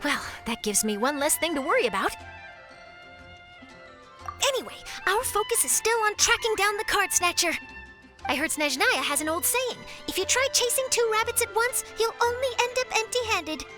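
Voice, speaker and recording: A woman's voice: very high-pitched, expressive, normal speed, sharp tone, exaggerated voice. A woman playing an eager young female journalist in an English anime game dub, perky, articulate and earnest. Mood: upbeat. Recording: music behind.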